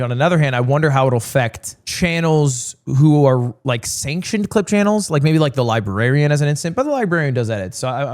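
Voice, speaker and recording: Lively rambling young man streaming. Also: mid-range voice. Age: 20s. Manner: rambling, self-mocking, lively. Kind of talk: casual stream chat.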